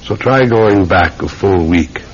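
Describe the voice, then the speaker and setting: Low-voiced, earnest police sergeant. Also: male voice, husky. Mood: firm, urgent. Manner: polite, deliberate, insistent questioning. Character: earnest, methodical, deferential Scotland Yard police sergeant. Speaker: actor in a radio mystery serial. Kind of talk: classic radio drama acting. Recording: muffled old radio recording with faint hiss.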